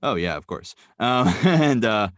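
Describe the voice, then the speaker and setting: Young male guest, very low register, halting reflective delivery, dry close mic. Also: sing-song, fairly bright tone, dry recording. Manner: halting, thoughtful, many fillers and restarts. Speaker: podcast guest, writer and sound designer. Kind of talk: casual interview talk.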